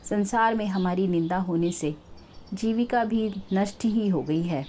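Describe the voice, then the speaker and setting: A woman's voice: mid-range voice, a little slow, mellow, a little hiss or hum, close to an everyday voice. A woman voicing a troubled older male pandit in a told story, plaintive and formal. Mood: lamenting.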